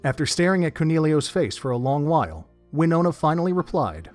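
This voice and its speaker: A man narrating an audio drama in a steady, descriptive storytelling manner. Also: fairly high pitch, fairly quick, soft background music.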